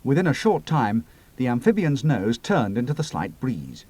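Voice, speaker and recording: A man narrating an adventure audiobook in a measured storytelling manner. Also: medium pitch, big pitch swings, warm tone, slightly rough.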